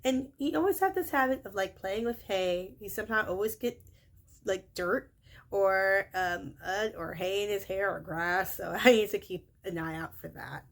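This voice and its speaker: A young woman with a high, shrill voice chats casually about her workday. She rambles, leans on fillers like "you know" and "uh", and keeps correcting herself mid-sentence. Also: normal speed. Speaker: childcare worker. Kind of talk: casual vlog recap of a workday.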